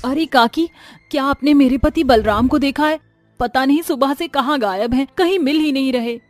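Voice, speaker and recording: A woman voicing a worried village wife in an animated story, anxious and pleading. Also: high-pitched, normal speed, faint music behind, natural voice. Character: worried young village wife searching for missing family. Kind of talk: Hindi animated story acting.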